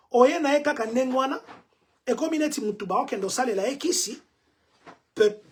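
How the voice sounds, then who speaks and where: A man's voice: very high-pitched, breathy edge. A man from Congo with a Congolese French accent, giving preachy commentary to his community and repeating himself with rhetorical questions. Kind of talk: vlog commentary to the diaspora. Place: Chicago.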